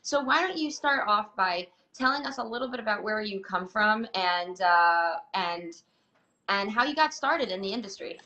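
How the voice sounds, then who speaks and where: A woman's voice: medium pitch, lively intonation, moderate pace, pushed and bright, dull audio. A woman hosting a live online interview, asking questions in an upbeat, encouraging way over a video call. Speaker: host.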